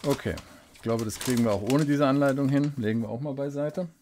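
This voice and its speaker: Man, casual desk unboxing reviewer, mellow low-key voice, relaxed and unhurried, thinks aloud. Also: slight background noise. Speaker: fountain pen reviewer. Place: indoors at a desk, hands-only camera. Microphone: studio desk microphone.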